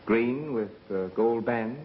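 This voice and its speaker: An actor with an American accent playing a calm, methodical engineer turned sleuth in a 1930s film, measured and wry. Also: male voice, medium pitch, close to an everyday voice. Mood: probing, accusing. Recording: muffled, hissy 1930s film soundtrack.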